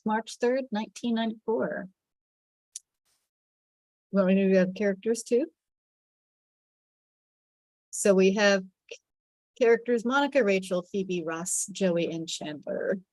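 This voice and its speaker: A woman's voice: mid-range voice, a little mellow, dry recording, low-quality recording. A woman on a video call, introducing herself and reading a script aloud. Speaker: actor reading stage directions.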